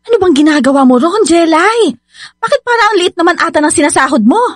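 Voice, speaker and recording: A scolding Filipino mother in a radio drama. Her voice is high and swoops widely as she belittles and demands, dismissive and sarcastic. The sound is dry and close. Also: expressive, fast talker, no room sound. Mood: suspicious. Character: nagging, greedy, belittling mother of a working daughter. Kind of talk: radio drama acting in Tagalog.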